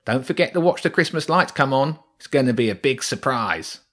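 A man's voice: mid-range voice, expressive, fairly quick, fairly bright tone, voice pushed up. A man voicing a greedy, pushy male rat workman in a children's storybook, with a cocky, wheedling manner.

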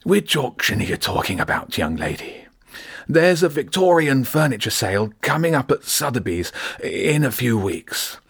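A man voicing an elderly, genial dealer with a wheezy, husky voice and a slow, courteous, old-fashioned manner. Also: medium pitch, sing-song, fairly bright tone, no echo, studio quality, voice pushed up, exaggerated voice. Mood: curious.

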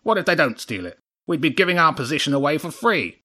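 A man voicing a huge, indignant crow in a children's audiobook, arguing forcefully and with scorn. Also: fairly high pitch, big pitch swings, quick pace, husky, voice pushed up, full character voice.

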